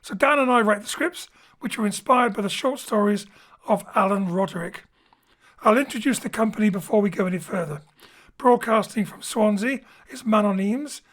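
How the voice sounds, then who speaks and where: A man introducing an audio drama as its director, in a measured way. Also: high voice, fairly bright tone. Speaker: theatre director and presenter.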